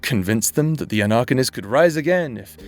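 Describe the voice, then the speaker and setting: An actor playing a gloating, coldly scheming male necromancer in an audio roleplay, with a deliberate, mocking, theatrical delivery. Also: medium pitch, lively intonation, soft background music, lightly acted. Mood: mocking, needling. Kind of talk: dramatic scripted audio roleplay.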